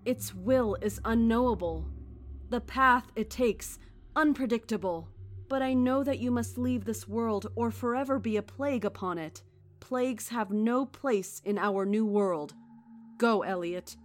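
An actress playing a serene, fanatical cult leader in a horror audio drama, speaking in a measured, solemn, preaching way. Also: female voice, a little high, natural voice. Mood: calm zeal.

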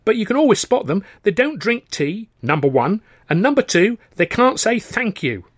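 Man acting a fussy, high-pitched little old Englishman. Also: big pitch swings, moderate pace, dry recording, studio quality. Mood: fussy, friendly. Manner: eager, anxious, chattering.

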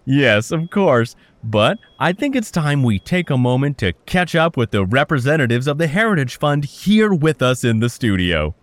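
A man's voice: medium pitch, big pitch swings, normal speed, hardly any pauses, dry recording, close to an everyday voice. An actor playing a flustered male telethon host who stammers through forced cheer.

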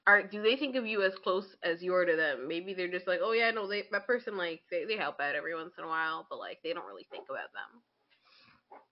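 A woman's voice: lowish voice, animated, a little fast, muffled recording. A woman co-hosting a podcast in casual, opinionated chat, blunt and informal, acting out imagined quotes. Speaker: podcast co-host.

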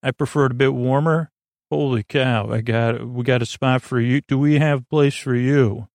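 Man, gravelly creaky voice, close dry sound, lulling bedtime host, wandering sentences, frequent false starts. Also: fairly even intonation, normal speed, darkish tone, no room sound. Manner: meandering, stumbling over words, frequent false starts; soothing, lulling, low-key. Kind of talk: rambling, improvised bedtime monologue.

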